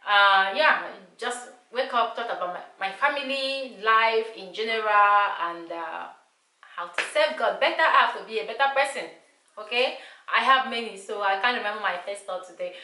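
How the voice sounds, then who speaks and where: A woman's voice: on the high side, expressive, moderate pace, bright, forceful tone. A woman in her thirties with a Nigerian accent, whose first language is Igbo, chatting casually to camera in a small room and repeating herself often. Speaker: vlogger. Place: small bright room indoors. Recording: echoey room.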